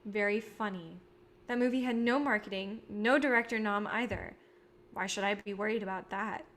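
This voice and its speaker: A woman playing an earnest, idealistic female filmmaker in a staged play reading, speaking in a sincere, measured way. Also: medium pitch, a bit bright, close to an everyday voice. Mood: dry, wary.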